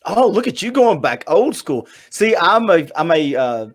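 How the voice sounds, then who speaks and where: A man's voice: fairly high pitch, big pitch swings. A man, hosting a casual podcast chat on a video call, joking and cutting in on others. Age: 50s. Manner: joking, hurried, talks over others. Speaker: podcast host.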